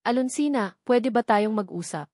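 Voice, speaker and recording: A woman's voice: a little high, animated, moderate pace, a little mellow, dry recording, studio quality. A woman narrator voicing a commanding, regretful billionaire man in a story. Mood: pleading.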